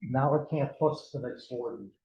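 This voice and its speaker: A man speaks as club secretary, welcoming guests in a friendly, plain-spoken manner. His voice is warm and dark. The recording is muffled and echoey, with faint background noise. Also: medium pitch, fairly quick. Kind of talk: meeting announcements.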